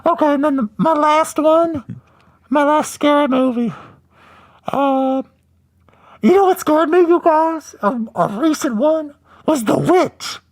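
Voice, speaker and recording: A woman co-hosting a casual livestream podcast, putting on a strained, shouty costume voice while she loses her voice. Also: sits high, unhurried, pauses often. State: losing her voice. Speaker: podcast co-host.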